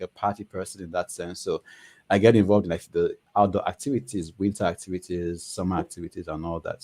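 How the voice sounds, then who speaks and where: A man's voice: fairly low pitch, darkish tone. A man talking casually as a guest on a panel discussion, chatty and full of fillers. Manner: chatty, frequent "you know" fillers.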